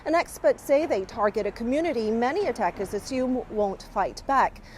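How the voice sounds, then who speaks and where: A woman news correspondent reporting from city streets in a measured, formal broadcast delivery. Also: on the high side, lively intonation, a little hiss or hum.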